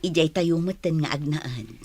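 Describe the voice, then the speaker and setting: A woman's voice: low-pitched, animated, unhurried, a little mellow, no echo, heavily acted. A woman with an Ilocano Filipino accent playing a stern, practical village mother in a comedy drama. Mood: matter-of-fact.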